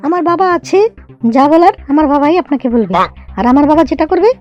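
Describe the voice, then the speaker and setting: A woman's voice: high-pitched, animated, quick pace, a little mellow, muffled recording, soft background music. A woman voicing a prim, outspoken young woman who argues firmly and emphatically in a comedy cartoon. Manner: emphatic, argumentative. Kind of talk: amateur cartoon comedy dialogue.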